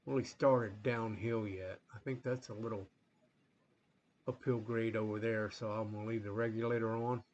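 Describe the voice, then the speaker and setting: A man hosting a train-game playthrough in a rambling, relaxed way, full of fillers, a little sniffly from a coming cold. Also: medium pitch, muffled recording, slight background noise. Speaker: gaming channel host. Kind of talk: casual gameplay commentary.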